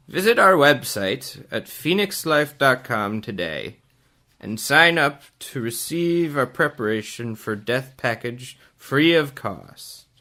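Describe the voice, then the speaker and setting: Sponsor spot: blunt, solemn executive played by a man, slow delivery, bright forceful tone. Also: medium pitch, heavily acted. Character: blunt, solemn male insurance executive giving a sponsor's pitch. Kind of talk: scripted radio advertisement.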